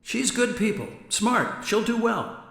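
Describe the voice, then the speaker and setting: An echoing, playful male ghost in a radio drama. His voice is highish and swings up and down as he teases, deliberate and sly, washed in long reverb. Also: very animated, creaky, a bit bright, heavily acted. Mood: wry, friendly. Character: playful male ghost, teasing, eavesdropping ally of an investigator. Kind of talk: contemporary radio drama acting. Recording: echoey hall-like reverb.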